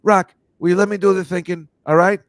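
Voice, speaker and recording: A man voicing a gruff older loan shark boss in a gravelly tough-guy drawl. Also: high-pitched, steady tone, brisk, no room sound, studio quality, voice pushed up, natural voice. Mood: bossy.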